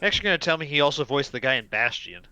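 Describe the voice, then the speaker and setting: A man's voice: mid-range voice, lively intonation, very fast, pushed and bright, clean tone. A man chatting casually as a participant on a podcast. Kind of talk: casual chat.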